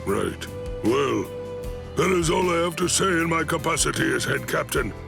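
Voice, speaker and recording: An actor playing a stern yet kindly old military head captain in an anime game, speaking in a measured, formal, gravelly voice. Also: male voice, on the high side, lively intonation, over music. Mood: gently advising. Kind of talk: scripted anime game cutscene dialogue.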